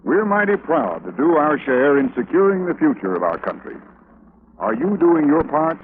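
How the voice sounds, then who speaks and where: An actor playing an earnest, proud married man in a measured 1950s radio public service ad. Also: on the high side, very animated. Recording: dull old radio, background noise.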